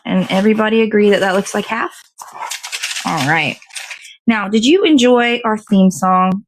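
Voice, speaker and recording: A woman's voice: medium pitch, rough recording. Chatty woman hosting a casual live show. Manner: chatty, rambling, thinks aloud. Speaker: host of a morning show. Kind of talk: casual live chat while assembling a dessert.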